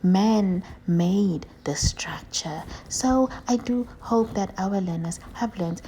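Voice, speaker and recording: A woman plays an eager dragon doctor from a children's puppet show. The voice is soft-edged and mellow, explaining things chattily with repeated words. There is faint-to-clear noise in the homemade recording. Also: medium pitch, slow, rough recording. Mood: friendly, instructive.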